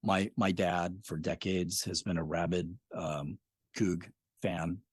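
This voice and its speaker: A middle-aged man, a podcast creator who talks about his work in a thoughtful, measured way. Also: sits low, fairly even intonation, breathy edge, no room sound, clean studio sound. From Spokane Valley, Washington. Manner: thoughtful, measured, some hesitations. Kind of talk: casual interview chat.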